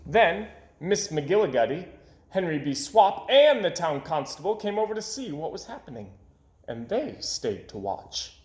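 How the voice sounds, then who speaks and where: Guy reading a kids book out loud, higher voice, really swoopy and dramatic, roomy echo. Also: big pitch swings, unhurried. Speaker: storyteller. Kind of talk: expressive children's story read-aloud. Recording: echoey room.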